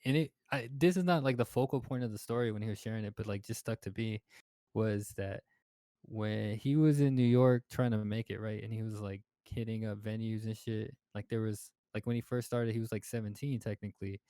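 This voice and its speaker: A man's voice: fairly low pitch, fairly even intonation, fairly quick, warm tone, no room sound. A man in his twenties hosting a casual podcast chat, rambling with lots of fillers and swearing. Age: 20s. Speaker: podcast host.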